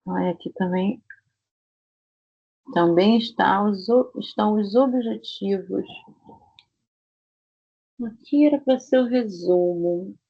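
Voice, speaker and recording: A woman's voice: mid-range voice, slow, creaky, pauses often, breathy edge. Carioca woman, online Portuguese teacher, stretches example words, dull call audio. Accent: Rio de Janeiro Portuguese. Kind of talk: online language class. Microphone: video call. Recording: muffled video call audio.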